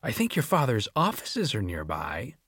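A man voicing an unhinged, cruel older female scientist who talks in a slow, taunting singsong. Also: mid-range voice, wildly swooping pitch, fairly bright tone, slightly rough, dry recording, clean studio sound, full character voice. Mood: sly.

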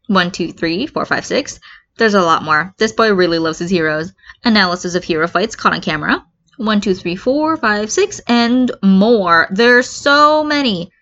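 A woman's voice: mid-range voice, fairly bright tone. A woman reading fan fiction aloud as an amateur narrator, with a slightly muffled, lo-fi recording. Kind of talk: expressive amateur story narration.

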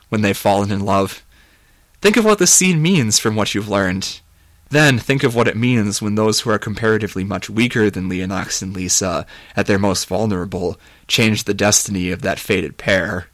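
A man's voice: on the low side, moderate pace, fairly bright tone, no echo, natural voice. A man voicing a smug, triumphant male immortal in an audiobook, explaining things in a pleased, knowing way. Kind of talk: audiobook character voice.

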